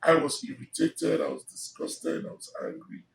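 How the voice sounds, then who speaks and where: A man's voice: normal speed, noisy background. A middle-aged man with a Nigerian English accent, telling his life story in an emphatic, expansive way with many fillers, interviewed on a sofa with a handheld mic. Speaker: interview guest. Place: living room on a sofa, indoors. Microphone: handheld mic held by interviewer. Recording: roomy, slightly distant mic.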